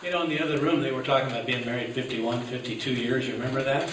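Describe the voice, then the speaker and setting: A man's voice: medium pitch, fairly even intonation, very fast. A man giving informal thanks and remarks from the stage as an event host. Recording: echoey room from far off, noisy.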